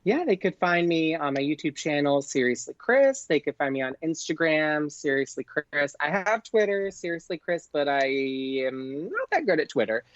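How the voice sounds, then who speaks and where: A man's voice: fairly high pitch, sing-song, normal speed, few pauses, dry recording. Male comedian guest on a podcast, effusive and chatty, slightly muffled lo-fi line, dry. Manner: gushing, effusive. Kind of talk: casual interview chat.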